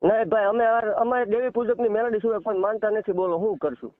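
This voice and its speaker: Tinny phone-line man, high-pitched and insistent. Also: steady tone, quick pace, dry recording. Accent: Saurashtra Gujarati. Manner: defensive, insistent, interrupting. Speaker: shrine keeper answering a caller. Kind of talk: heated phone argument. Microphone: telephone. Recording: tinny narrow-band phone call recording.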